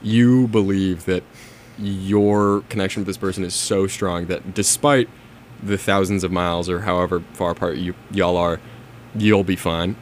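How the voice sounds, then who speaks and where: Low-voiced young man in casual discussion. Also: steady tone, moderate pace, slight background noise. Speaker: podcast co-host.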